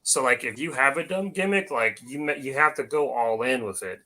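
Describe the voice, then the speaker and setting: A man's voice: mid-range voice, animated, normal speed, pushed and bright. A man in a casual, opinionated discussion, emphatic and circling back to press his point. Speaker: podcast panelist.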